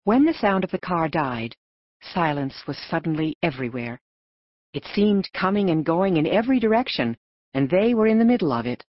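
A woman narrates a children's novel in a low voice that moves around expressively in pitch. It is a scripted audiobook reading, close and dry, with slightly dull, lo-fi sound. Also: sing-song, normal speed, no echo.

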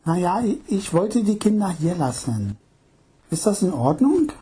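A man's voice: high-pitched, sing-song, unhurried, warm tone, breathy edge, pitched above the performer's own voice, heavily acted. A man voicing a young wife who is insistent and hesitant by turns, in an audiobook reading. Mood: coaxing. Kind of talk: audiobook narration.

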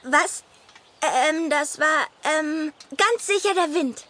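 A woman's voice: high voice, slow pace, pushed and bright, slight background noise, full character voice. A woman playing a timid, friendly little boy badger in a children's audio drama, childlike, hesitant and eager. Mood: nervous.

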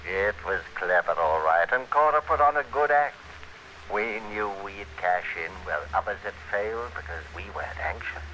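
A cold, scheming criminal in a 1940s radio thriller. His voice sits fairly low with a hard, forceful edge, and he is domineering and menacing. The old broadcast recording carries faint noise. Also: animated, moderate pace, rough recording. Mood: grim, calculating. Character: cold, scheming male criminal narrating his murderous plot.

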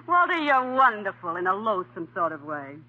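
A woman's voice: mid-range voice, big pitch swings, husky. An American woman acting a witty, defiant lady reporter in an old-time radio comedy, wry and emphatic. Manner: wry, emphatic, quick comebacks. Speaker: actor. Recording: tinny, narrow-band old radio recording.

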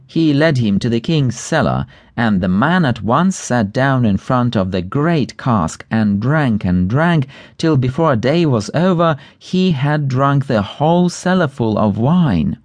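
Male audiobook narrator for fairy tales, lively intonation, dry studio sound. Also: medium pitch, a little slow, hardly any pauses, no echo. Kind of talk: scripted fairy tale narration.

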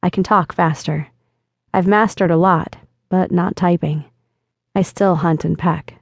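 A woman narrator voicing a flirtatious, self-assured man in an audiobook, with smooth, teasing delivery. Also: on the low side, fairly even intonation, a little slow, no room sound. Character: flirtatious confident man chatting online. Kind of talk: audiobook dialogue reading.

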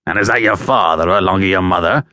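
A man voicing a ragged, menacing escaped convict, growling out rough threats and orders in a storybook reading. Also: low voice, fast talker, sharp tone, no room sound, studio quality. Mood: suspicious.

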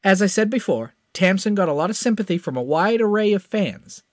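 A man voicing Crash, an excited, slightly nervous young tech whiz. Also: no echo, studio quality. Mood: eager. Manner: excited, quick, pitched up.

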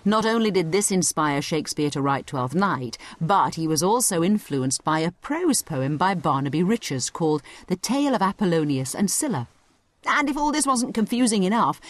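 A woman's voice: on the low side, big pitch swings, moderate pace, dry recording. A woman narrating a scripted educational audio guide, explaining things conversationally as she addresses the listener directly.

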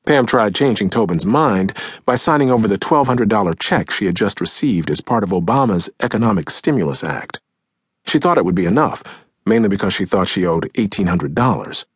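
Husky, low male audiobook narrator, very expressive. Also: fairly quick, no echo, studio quality. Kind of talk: scripted nonfiction audiobook narration, acting out quotes. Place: close, dry recording studio.

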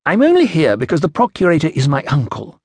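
A man's voice: fairly high pitch, huge pitch swings, fast talker, husky, no echo, voice pushed up, exaggerated voice. A man voicing an inexperienced young Roman official in an audiobook, hesitant, polite and awkwardly bureaucratic. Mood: flustered.

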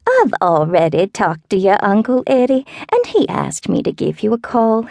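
A woman voicing a fussy elderly neighbor with a Southern accent, who talks in a slow, meandering, wavering falsetto. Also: wildly swooping pitch, vocal fry, a little mellow, no echo. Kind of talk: audiobook character voice.

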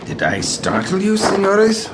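An actor playing a languid, haughty young aristocrat in his twenties in an audio drama, condescending, fastidious and world-weary. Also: high voice, wildly swooping pitch, noisy background, full character voice. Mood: airy complaint. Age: 20s. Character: languid, haughty young aristocratic caballero, foppish and easily bored.

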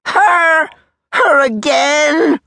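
Storybook major: high, explosive, threatening bully, hot-tempered, very dry recording. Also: extremely slow, vocal fry, bright, forceful tone, no room sound, exaggerated voice. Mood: roaring anger. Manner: barking, roaring, threatening. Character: Major Crollo, blustering bullying soldier, easily enraged.

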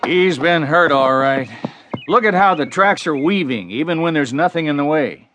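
An actor playing a steady, thoughtful ranch foreman in a western radio play, with a laconic, folksy American Western accent. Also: on the high side, moderate pace, noisy background, natural voice. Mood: concerned, decisive.

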